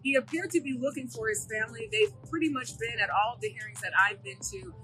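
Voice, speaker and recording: A woman's voice: fairly high pitch, a little fast, fairly bright tone, poor audio. A woman reporter giving a live-style news report.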